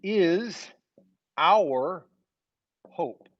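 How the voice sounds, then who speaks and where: Sixty-something guy doing a bible class, high voice that jumps all over, keeps going "okay?". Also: animated, very slow, lo-fi sound. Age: 60s. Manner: rambling, keeps checking in with "okay?". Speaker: Sunday school teacher. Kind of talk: informal Bible lesson.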